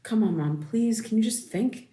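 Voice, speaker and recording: A woman's voice: a little high, lively intonation, moderate pace, dark tone, natural voice. A woman playing a raw, insistent daughter in her thirties in a naturalistic audio drama, speaking in a hesitant, conversational way. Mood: upset, pleading. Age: 30s. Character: wounded thirty-three-year-old daughter, insistent and raw.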